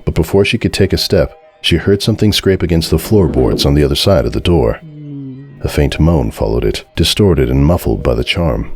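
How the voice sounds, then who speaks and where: A man giving a dramatic scripted narration of a story in a measured, solemn way. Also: extremely low pitch, darkish tone, slightly rough. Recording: music behind the voice.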